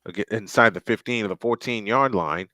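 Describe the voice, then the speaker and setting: A man's voice: fairly low pitch, a little fast, pushed and bright, dry recording, studio quality. A man doing live play-by-play commentary on a football game, chatty and thinking aloud between plays.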